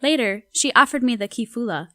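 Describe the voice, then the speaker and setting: A curious, uneasy 1960s anthropologist, voiced by a woman, reads her field journal. Her voice sits fairly high and the sound is dry and close. Her reading is measured and reflective. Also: animated, a bit bright, dry recording.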